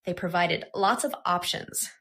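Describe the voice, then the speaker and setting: A woman presenting a software tutorial in scripted, instructional, step-by-step narration. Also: lowish voice, a little slow.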